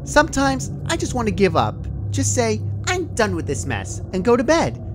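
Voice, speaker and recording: A man's voice: very high voice, huge pitch swings, normal speed, noisy background, voice pushed up, exaggerated voice. A man voicing a perky, sadistic female cartoon pony from a fan story, casual and chirpy while menacing. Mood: chirpy pep talk.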